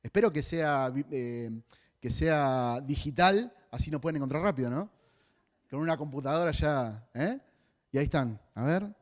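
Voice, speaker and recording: A man's voice: on the high side, animated, normal speed. A man with a Rioplatense Spanish accent preaching a sermon as a pastor, in a conversational way with joking asides.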